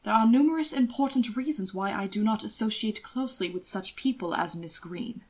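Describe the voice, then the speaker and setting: A woman's voice: medium pitch, brisk, lightly acted. A woman voicing a haughty, guarded young lord with formal, clipped speech in a story. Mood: disdainful.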